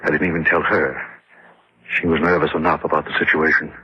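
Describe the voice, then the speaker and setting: Low, very raspy husband narrating radio horror, rationalizing everything, muffled old recording. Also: male voice, flat delivery, brisk, no echo, exaggerated voice. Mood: uneasy, confiding. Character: defensive rationalizing husband recounting a disaster camp horror. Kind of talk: dramatic first-person radio horror narration and dialogue.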